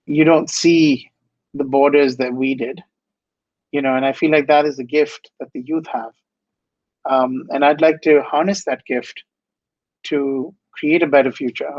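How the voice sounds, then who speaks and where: A man's voice: medium pitch, dry recording, muffled recording. A man from India, speaking earnestly and with passion as a guest in an interview, often repeating phrases for emphasis. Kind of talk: inspirational interview answers.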